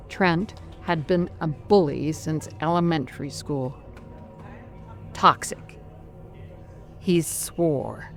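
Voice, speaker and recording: A narrator delivers scripted horror drama in short, clipped phrases. The reading is slow and deliberate, at a middling pitch, with music in the background.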